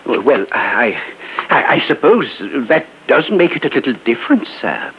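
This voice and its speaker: A man's voice: mid-range voice, very animated, normal speed, a little hiss or hum. An actor playing a polite, flustered doorman who stammers deferentially in an old radio crime drama. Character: fussy, hesitant, deferential male apartment doorman. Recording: tinny, phone-like old radio recording.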